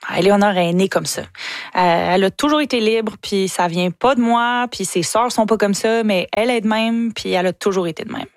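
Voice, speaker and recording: Lively Québécois woman, dry close sound. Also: medium pitch, dry recording. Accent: Québécois French. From Quebec. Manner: enthusiastic, quick, stumbles and repeats words. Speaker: singer and TV host guest. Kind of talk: casual interview talk.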